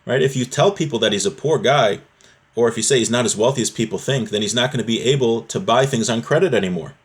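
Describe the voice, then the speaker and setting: A man's voice: mid-range voice, fast talker. Man teaching a religious text conversationally. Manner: conversational, explanatory, often checking with "right?". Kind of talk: text-based religious lesson, reading Hebrew and explaining it in English.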